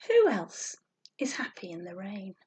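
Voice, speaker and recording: Slow-paced woman reading to small children. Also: mid-range voice, breathy edge. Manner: animated, sing-song, drawn-out emphasis for small children. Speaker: storytime reader for young children. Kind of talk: read-aloud picture book storytelling with questions to children.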